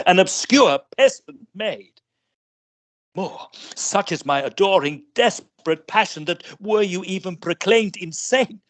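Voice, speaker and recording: An actor playing a scheming, villainous suitor in old-time radio melodrama, with florid, formal and insistent pleading. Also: male voice, sits high, a bit bright.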